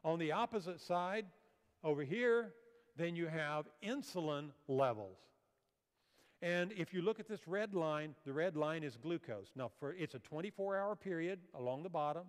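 A man's voice: a little high, expressive, moderate pace, smooth. An older man giving a health seminar lecture on a church auditorium stage, explaining slides and asking the audience questions. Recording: long hall reverb.

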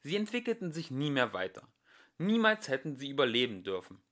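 A young man narrates a comic novel at home, his voice sitting at a middle pitch. He swings it widely and expressively, landing the dry jokes with plenty of melody. It sounds like a homemade audiobook read for family. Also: big pitch swings, a little fast, a bit bright. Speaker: audiobook reader. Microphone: home recording.

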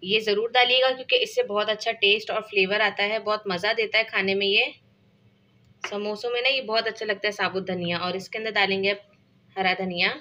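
A woman's voice: mid-range voice, fairly even intonation, brisk, pushed and bright, dull audio. A woman hosting a cooking channel, talking casually step by step through a home recipe in her kitchen, with a Pakistani Urdu accent. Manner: chatty step-by-step explaining, frequent filler phrases.

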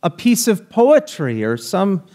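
Pastor preaching from a church pulpit. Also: male voice, sits high, wildly swooping pitch, a little slow, warm tone. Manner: reads scripture aloud, then explains it in a conversational preaching tone. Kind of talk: sermon. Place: church sanctuary, speaking from a pulpit. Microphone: pulpit microphone, filmed by a far camera.

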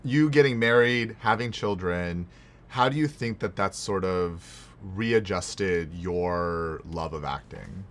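A man's voice: sits low, takes it slowly. A man interviewing a friend in a casual, informal conversation, often checking in with the listener as he talks. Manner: informal, animated, tags phrases with "right?". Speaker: podcast host, interviewer. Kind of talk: casual chat among friends.

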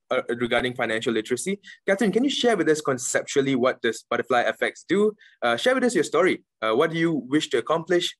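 A middle-aged man moderating an online panel over a video call, asking measured, formal questions. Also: mid-range voice, fairly bright tone, no room sound. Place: home room on a video call.

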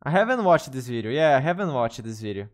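A young Brazilian man with a Portuguese accent chats with his stream in English, pitched at an ordinary middle height for a man. He hesitates, repeats words and restarts phrases as he reads chat. He talks close to a desk mic in his bedroom setup. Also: big pitch swings, quick pace. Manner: hesitant, self-correcting non-native English. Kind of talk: casual live-stream chat with viewers. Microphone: studio mic on desk with headphones.

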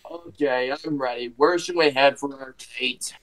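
A man's voice: medium pitch, lively intonation, slow, fairly bright tone. A man on a voice call, nervous and flustered, laughing a lot. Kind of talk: casual voice-chat roleplay.

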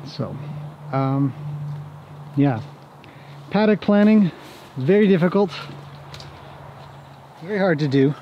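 A man's voice: on the high side, animated, slow, pauses often, muffled recording. A man in his forties talking casually and ramblingly to a camera as he walks outdoors, explaining things in a laid-back, self-deprecating way. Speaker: vlog host and horse paddock owner. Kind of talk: casual walk-and-talk vlog explanation. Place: outdoors on a wooded horse property. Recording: clear outdoor ambient noise.

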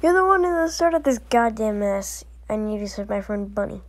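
A woman performing acted voicelines for a fan animation with slow, deliberate delivery. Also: medium pitch, lively intonation. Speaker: amateur voice actor.

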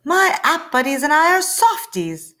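A woman voicing a perky, cute, eager little software app in a children's storybook read-aloud. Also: high voice, very animated, a little slow, voice pushed up, heavily acted.

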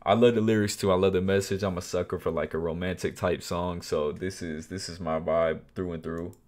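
A young man in his twenties hosting a music reaction video, talking casually and softly at night, excited about the song. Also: sits low, a little fast. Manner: enthusiastic, softly spoken at night. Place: small bedroom, indoors. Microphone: wired earbuds mic, webcam framing. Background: song playing faintly.

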